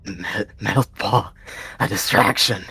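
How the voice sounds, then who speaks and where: Husky, manic dying cat warrior. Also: male voice, fairly low pitch, measured pace, sharp tone, full character voice. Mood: unhinged. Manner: gasping, stammering, strained. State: choking, dying. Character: poisoned evil warrior cat mentor, choking, manic.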